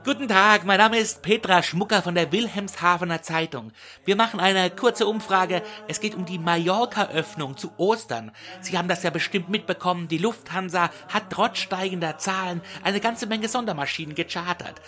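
A man's voice: high-pitched, normal speed, pushed and bright, pitched above the performer's own voice. A man voicing a chirpy, polite woman calling from a newspaper for a survey in an audio story. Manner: fluting, friendly.

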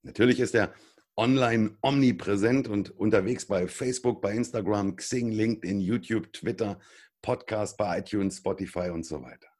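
A man's voice: lowish voice, normal speed, a little mellow. A man hosting a podcast interview, introducing his guest with upbeat enthusiasm. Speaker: podcast host.

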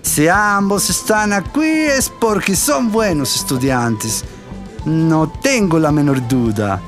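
A man's voice: a little high, animated, takes it slowly, music in the background. An actor playing an exacting, expansive Italian head chef, emphatic and slipping Italian into his Spanish with an Italian accent. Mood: businesslike. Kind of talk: radio drama acting.

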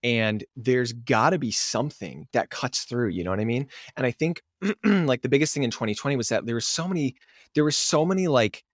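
A young man talking casually as a podcast guest, animated and excitable, peppering his talk with fillers and laughs. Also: mid-range voice, fairly quick, dry recording, clean studio sound. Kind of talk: casual conversational interview.

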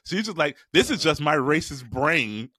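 A man's voice: fairly high pitch, normal speed, no echo, studio quality. A man co-hosting a podcast in loose casual banter, swearing often and laughing between lines. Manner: animated, swearing, laughing a lot. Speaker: podcast co-host.

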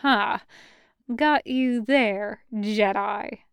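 A woman's voice: mid-range voice, very slow, gravelly, clean tone, no echo. A woman voicing a sardonic, irritable female assassin, mocking and dry, in a sci-fi audiobook.

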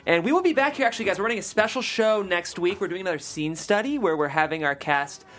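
A man's voice: high-pitched, expressive, quick pace, fairly bright tone, faint music behind. A man hosting a podcast in casual interview chat. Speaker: podcast host.

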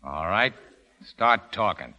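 An actor playing an accusing, hot-headed gangster in a 1930s radio drama, blunt and aggressive. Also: male voice, fairly low pitch, animated, slow pace, highs cut off, exaggerated voice. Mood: hostile suspicion. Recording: old radio recording with loud crowd.

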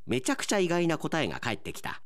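A man's voice: fairly high pitch, wildly swooping pitch, fast talker. A man, an announcer, narrating a comic radio drama from a script in a dramatic style.

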